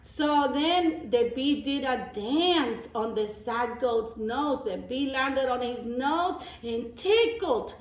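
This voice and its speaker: A woman reading a storybook aloud as a teacher of young children, pausing for simple explanations and repeating phrases. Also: high-pitched, lively intonation. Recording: roomy echo, distant microphone.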